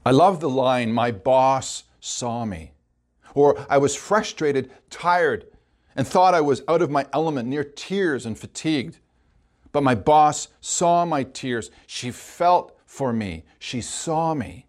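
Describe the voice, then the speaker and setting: A man's voice: mid-range voice, lively intonation, takes it slowly. A man preaching as pastor, reflectively quoting and repeating phrases during a sermon.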